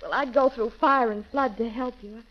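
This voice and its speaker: A woman's voice: a little high, steady tone, moderate pace, close to an everyday voice. An actress playing a timid, earnest young beauty-shop girl in an apologetic, hesitant 1930s radio romance style. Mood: pleading, hopeful. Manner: apologetic, hesitant, breathless exclamations. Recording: muffled, crackling 1930s radio recording.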